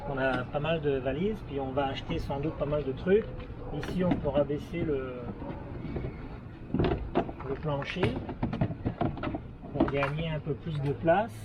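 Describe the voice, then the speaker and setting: A man's voice: fairly high pitch, measured pace, long pauses. A man in his thirties with a Québécois French accent, casually showing off a new car and explaining its features, hesitating often with fillers and restarts. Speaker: travel vlogger. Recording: noisy outdoor ambience with vehicle sounds.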